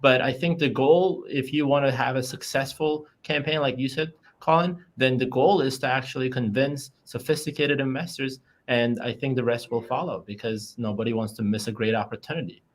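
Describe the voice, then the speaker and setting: Man, panelist, mid-pitched voice, direct and conversational. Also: fairly even intonation, moderate pace, hardly any pauses. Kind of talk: panel discussion answer.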